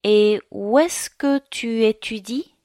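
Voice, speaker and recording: A woman reading the part of a young Englishwoman heading to work as an au pair, in a slow learner's dialogue. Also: on the high side, dark tone, dry recording, studio quality. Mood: curious. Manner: slow, carefully articulated reading.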